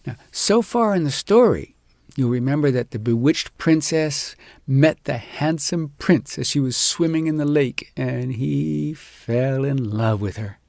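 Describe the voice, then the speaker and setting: Male audiobook narrator for fairy tales, mid-pitched with some gravel, expressive measured read, dry close sound. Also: no echo. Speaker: audiobook storyteller and host. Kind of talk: scripted storytelling narration of a fairy tale.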